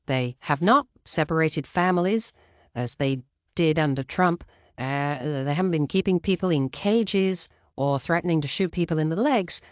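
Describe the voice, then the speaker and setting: A woman's voice: on the low side, dry recording, muffled recording. A woman hosting opinionated political commentary, speaking to viewers in an earnest, emphatic way. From outside the United States.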